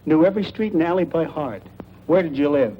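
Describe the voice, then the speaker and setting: An actor playing a talkative, inquisitive boarder in a 1940s radio drama, with a folksy, probing manner. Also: male voice, fairly high pitch, lively intonation, a little fast, close to an everyday voice. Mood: curious, probing. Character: talkative, inquisitive male boarder, former postal worker. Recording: muffled old radio recording, faint noise.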